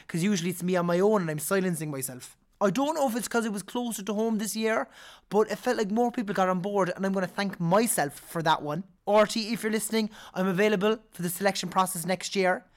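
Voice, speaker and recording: A man with a Cork Irish accent, hosting a podcast in a chatty, animated way, his voice hoarse from singing all weekend. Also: high-pitched. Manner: chatty, animated, quick to laugh. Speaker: podcast host. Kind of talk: casual chat.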